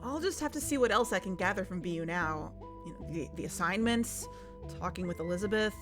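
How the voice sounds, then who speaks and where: An actress playing a worried, guarded amateur investigator, speaking hesitantly in a naturalistic audio drama. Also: female voice, on the low side, animated, fairly quick, over music, close to an everyday voice. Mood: determined, hesitant.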